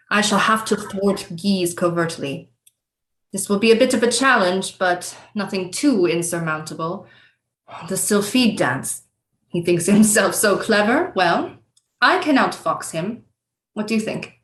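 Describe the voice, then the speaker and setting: A woman's voice: mid-range voice, lightly acted. A woman acting a haughty, scheming, lovelorn Renaissance queen in an audio play, speaking formal, archaic lines theatrically. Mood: resolute. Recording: roomy echo with music behind.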